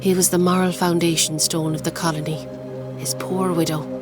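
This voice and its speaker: A pious colonial townswoman played by an actress in a video game. She speaks earnestly and properly in a lowish voice, with music behind. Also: level tone, moderate pace, natural voice. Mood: pious concern.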